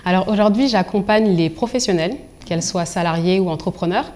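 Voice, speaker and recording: Expressive young woman telling her story. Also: on the low side, brisk. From Angola and Congo. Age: young adult. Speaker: professional confidence coach being interviewed. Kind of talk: personal storytelling in an interview.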